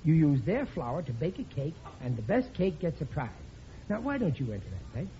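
An actor playing a bumbling, wheedling middle-aged working-class husband in a radio comedy, stammering and blustering. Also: male voice, on the high side, sing-song, a little fast, mellow. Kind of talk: old-time radio situation comedy acting. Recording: dull, noisy vintage radio recording.

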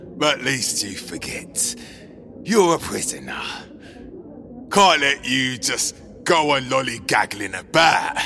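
An actor playing a gruff, cruel monster general in a fantasy audio drama, mocking and menacing. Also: male voice, mid-range voice, very animated, slow, sharp tone, soft background music, heavily acted.

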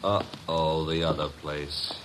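Wisecracking young detective's sidekick. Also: male voice, extremely low pitch, little pitch movement, takes it slowly, fairly bright tone, exaggerated voice. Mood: groggy. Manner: breezy, wisecracking. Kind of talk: old-time radio detective drama acting. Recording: noisy vintage radio recording.

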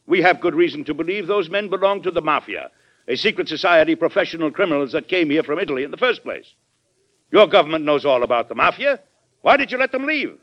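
A forceful, good-humored American president for a vintage radio play. His delivery is emphatic and very animated, pitched a little high. The recording is dull and old. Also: fast talker. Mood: stern, indignant. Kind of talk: old-time radio drama acting.

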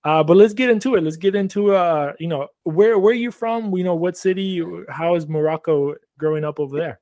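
A man's voice: high-pitched, brisk, a little mellow, no echo. A man hosting a casual interview, asking loose, rambling questions full of fillers. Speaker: podcast host.

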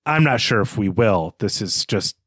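A man's voice: lowish voice, animated, moderate pace, a bit bright, breathy edge, no echo, studio quality. A man co-hosting a literary podcast, analysing a text as he thinks aloud in a reflective way. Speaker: podcast host.

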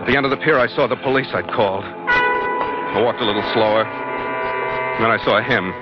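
A man's voice: medium pitch, natural voice. An American actor playing a brooding, hard-boiled sea captain who narrates his adventures in a terse, world-weary 1940s radio style. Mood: grim, brooding. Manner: terse, world-weary, deliberate dramatic delivery. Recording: muffled old radio recording, loud music.

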